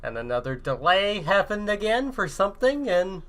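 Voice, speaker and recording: A man's voice: sits high, very animated, normal speed, fairly bright tone. A man hosting a podcast in a casual, chatty style. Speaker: podcast host.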